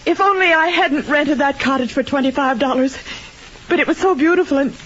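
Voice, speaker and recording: A woman's voice: high-pitched, brisk, a bit bright. A woman playing an anxious, devoted wife in melodramatic old-time radio drama style. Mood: distraught. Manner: earnest, melodramatic. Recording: dull, noisy old radio recording.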